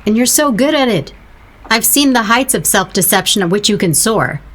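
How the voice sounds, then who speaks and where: A woman's voice: on the high side, lively intonation, normal speed, fairly bright tone. An actress playing a blunt, wry woman friend in an audio drama, dryly sarcastic and coaxing. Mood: sardonic.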